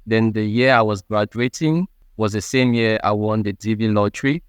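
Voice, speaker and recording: Man, thirties, Cameroonian accent, halting and earnest, mid pitch, dry close sound. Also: smooth, dry recording. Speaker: guest. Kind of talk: interview answers.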